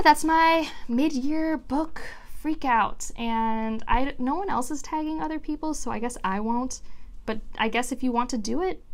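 A woman in her twenties chatting casually to viewers as a book vlogger, rambling informally and thinking aloud. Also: on the high side. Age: 20s. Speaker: book reviewer and video host. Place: small furnished room at home, indoors.

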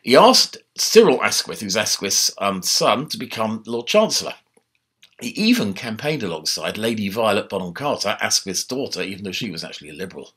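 An older man talks through a history lesson in a lively, chatty way, his voice husky yet bright and forward. He sits in a book-lined study at home and speaks into his laptop's built-in mic. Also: lowish voice, fairly quick. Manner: animated, conversational, gesturing with his hands. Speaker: history lecturer. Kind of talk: informal online history talk. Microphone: laptop webcam mic.

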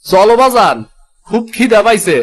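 Man voicing a very high-pitched eager village boy. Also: moderate pace, natural voice. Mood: eager, hungry. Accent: rural Bangladeshi. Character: eager, loving young fisherman's son. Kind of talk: cartoon voice acting.

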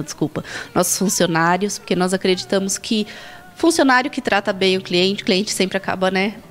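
A woman, a restaurant co-owner chatting cheerfully in a TV interview on a kitchen set. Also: mid-range voice. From São Paulo state, Brazil. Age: 20s. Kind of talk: casual interview. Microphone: handheld mic.

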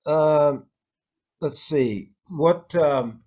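A man's voice: a little high, very slow, a little mellow. A middle-aged man hosting a casual interview over a video call. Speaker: host, interviewer. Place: plain room, indoors.

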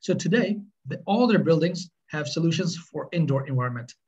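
A middle-aged man with a Swedish accent, presenting on a webinar in earnest, halting speech. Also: fairly high pitch, expressive, darkish tone, slightly rough, highs cut off. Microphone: webcam on video call.

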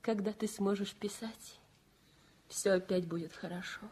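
An actress playing a young nurse and loving wife in a 1960s radio play, speaking in a soothing, pleading way. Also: female voice, medium pitch, steady tone, measured pace, a little mellow, husky.

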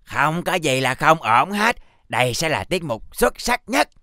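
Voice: mid-range voice, sing-song, sharp tone, dry recording, studio quality. An actor voicing a vain, bossy young would-be superstar in a children's cartoon, boastful and pompous. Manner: boastful, pompous, drawn-out grand phrases. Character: vain, bossy boy superstar-wannabe pencil character. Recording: music behind the voice.

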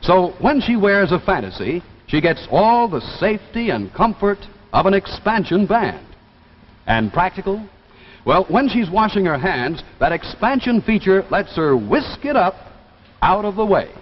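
Animated 1950s American TV pitchman. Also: measured pace. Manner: polished, emphatic. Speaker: commercial announcer. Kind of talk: 1950s television sales pitch. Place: television studio. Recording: dull vintage TV soundtrack, reverberant, faint noise.

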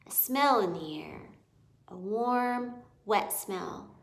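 A woman's voice: mid-range voice, lively intonation, drawn-out words, vocal fry, full character voice. Woman voicing a serious, thoughtful young mouse boy. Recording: echoey room.

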